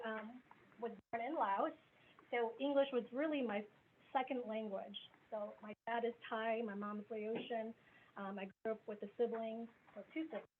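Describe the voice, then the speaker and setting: A woman in her forties from the American Midwest, giving a candid, conversational self-introduction as a business coach on a video call. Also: on the high side. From grew up in the US Midwest. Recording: tinny phone-like video call line with faint noise.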